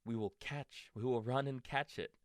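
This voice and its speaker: A man's voice: mid-range voice, fairly even intonation, normal speed, no echo, clean studio sound, voice pushed up, close to an everyday voice. A man voicing a patient, kindly male frog in a storybook, speaking simply and earnestly. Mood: eager, helpful.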